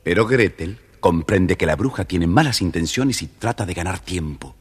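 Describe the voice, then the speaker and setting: A man's voice: sits low, expressive, normal speed, in a large hall, slight background noise. A man narrating a children's story in a dramatic, confiding and theatrical way.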